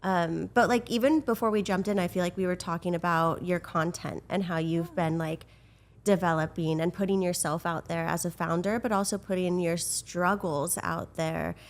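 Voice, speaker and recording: A woman co-hosts a relaxed interview podcast, speaking earnestly and thoughtfully with plenty of filler words. Her voice sits fairly low. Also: moderate pace. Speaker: podcast co-host, beauty director. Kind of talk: casual interview chat.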